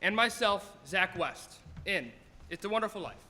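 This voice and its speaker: A young man serves as announcer and narrator of an old-time radio play. The voice is high and lively, in a reverberant hall with music behind it. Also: normal speed, slight background noise. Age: teens to young adult.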